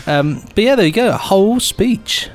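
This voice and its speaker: A man's voice: high-pitched, expressive, moderate pace, soft background music. A man co-hosting a podcast in an informal, chipper chat, often chiming in. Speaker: podcast co-host. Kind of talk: casual chat.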